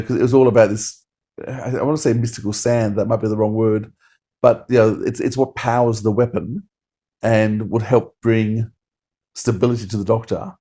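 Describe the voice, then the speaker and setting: A man's voice: on the low side, dark tone. A man co-hosting a podcast, giving a casual review in an enthusiastic, rambling way. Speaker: podcast co-host.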